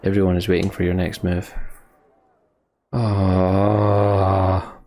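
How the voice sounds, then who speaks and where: A man's voice: deep voice, little pitch movement, takes it slowly, dark tone, a little hiss or hum. A man hosting a let's play, reading story text aloud dramatically and adding sweary, emotional asides. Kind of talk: dramatic narration with casual asides.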